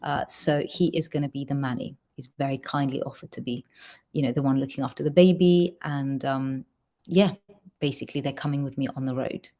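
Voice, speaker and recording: Quick-talking low-voiced woman singer. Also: fairly even intonation, dark tone. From Georgia. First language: Georgian. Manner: quick, chatty, lots of ums. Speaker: singer reading fan questions. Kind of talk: casual livestream Q&A.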